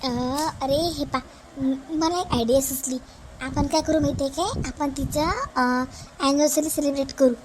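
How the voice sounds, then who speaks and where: A woman's voice: high voice, fairly even intonation, moderate pace, a little mellow, a little hiss or hum, close to an everyday voice. A woman voicing an eager, chatty young wife in a cartoon family comedy, explaining things. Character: eager young wife, chatty sister-in-law planning kindly.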